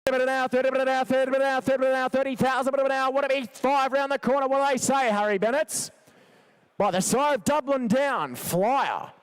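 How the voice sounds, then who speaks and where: A man with a very high, ringing, clean voice works as an auctioneer. He rattles through bids in a rhythmic chant, repeating figures insistently to keep the sale moving. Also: fairly quick, smooth. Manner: repetitive, insistent bid-calling. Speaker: livestock auctioneer. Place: sales arena.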